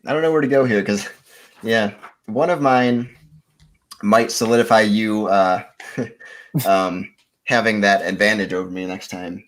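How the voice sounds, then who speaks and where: Guy with a lowish voice just chatting on a podcast, competing. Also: measured pace, pauses often, rough recording. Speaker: podcast contestant. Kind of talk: casual chat.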